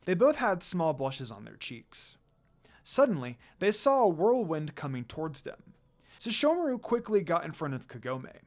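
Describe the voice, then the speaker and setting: A man narrating a fan fiction story in an expressive reading style. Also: a little high, normal speed.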